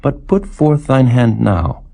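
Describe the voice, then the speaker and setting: A man's voice: medium pitch, lively intonation, slow pace, creaky, mellow, pitched above the performer's own voice, exaggerated voice. A man voicing a sly, defiant devil who challenges and taunts in a dramatised scripture reading. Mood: taunting challenge.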